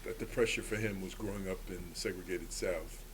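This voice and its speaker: A man speaking as a panelist, recounting things in a halting way with many pauses. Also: low-pitched, steady tone. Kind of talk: anecdotal panel discussion. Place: live event panel. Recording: clearly noisy live room.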